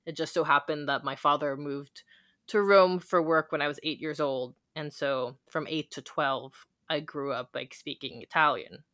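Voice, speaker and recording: Low-voiced reflective woman, dry sound. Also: steady tone, normal speed, a bit bright, no echo. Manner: thoughtful, reflective, with frequent fillers. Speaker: podcast co-host. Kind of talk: casual book discussion.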